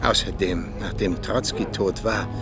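An actor playing a cynical, disillusioned Soviet agent and former mentor in a radio drama, confessing in weighty, deliberate phrases. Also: male voice, deep voice, over loud music. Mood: bitter, cynical. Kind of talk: German radio drama acting.

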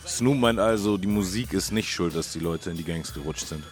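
A man's voice: deep voice, fairly quick. A man hosting and telling music history in a casual, colloquial conversational style. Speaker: host. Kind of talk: casual storytelling about hip-hop history.

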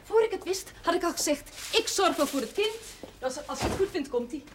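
A young woman with a very high voice, eager and persuasive, quick to take offence. It is Dutch period television drama, on a noisy old soundtrack. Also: expressive.

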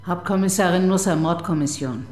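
An actress playing a sharp, sardonic homicide detective in a radio crime drama, brisk, dry and probing. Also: female voice, lowish voice, slight background noise, lightly acted. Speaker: detective chief inspector.

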